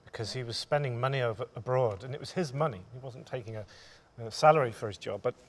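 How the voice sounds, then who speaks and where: A man in his forties, a writer speaking thoughtfully and in halting, measured phrases on a discussion panel. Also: medium pitch. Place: theatre stage, indoors.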